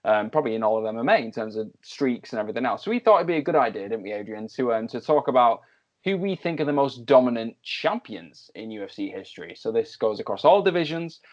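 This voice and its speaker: A young man with a Manchester accent, co-hosting a casual sports chat in an animated way, with lots of fillers and restarts. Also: medium pitch, fast talker. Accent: Mancunian English. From England. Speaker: co-host. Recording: slightly dull lo-fi audio.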